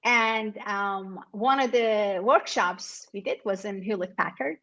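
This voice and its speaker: Middle-aged woman guest from Turkey, mid-range voice, reflective and unhurried. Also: mid-range voice, highs cut off. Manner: thoughtful, frequent fillers, warm laughs. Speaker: author and guest. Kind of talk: conversational interview.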